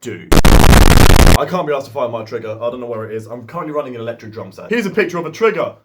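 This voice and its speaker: A man's voice: mid-range voice, expressive, quick pace, very noisy. A man of twenty-one, a drummer, rambling casually to camera with lots of swearing and jokes. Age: 20s. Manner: profane, loose and jokey. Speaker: drummer and video host.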